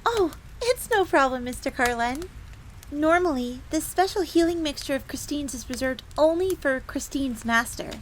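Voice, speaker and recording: A woman's voice: sits high, lively intonation, moderate pace. A woman playing a sweet, timid, eager young bunny maid who stammers, speaks deferentially and refers to herself in the third person in a scripted roleplay drama. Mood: polite. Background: ambience, crackling fire.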